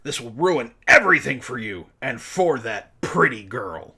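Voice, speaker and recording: A man's voice: medium pitch, big pitch swings, measured pace, bright, forceful tone, breathy edge, full character voice. A man voicing a furious, proud male politician in a story reading, menacing and blustering. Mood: threatening.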